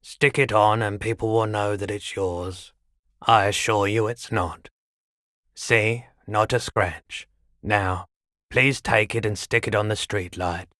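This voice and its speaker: An actor playing a laid-back, eccentric male pawnshop keeper in a video game, deadpan and unhurried, with drawn-out phrasing. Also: fairly low pitch, dry recording, studio quality. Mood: matter-of-fact, unhurried. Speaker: shopkeeper character. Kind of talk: video game character dialogue.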